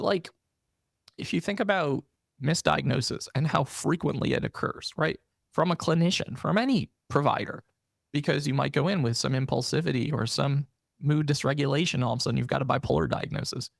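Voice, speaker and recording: A man's voice: a little high, vocal fry, no room sound, clean studio sound. A man hosting a podcast, talking in an earnest, personal way and often checking in with "right?". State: briefly losing his voice. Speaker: podcast host. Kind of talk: casual interview conversation.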